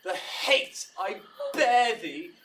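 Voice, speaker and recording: An actor playing an ardent, fickle young lover in a Shakespearean audio drama, speaking verse with theatrical emphasis. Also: male voice, high voice, animated, very slow, noisy background, exaggerated voice. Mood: cold, dismissive. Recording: voice distant from mic.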